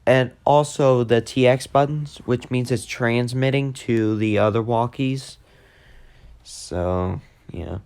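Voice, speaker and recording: A man giving a casual, unscripted tech demo in a halting, repetitive way that tends to trail off. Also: medium pitch, gravelly, darkish tone, clean tone. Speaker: video host demonstrating a walkie-talkie app.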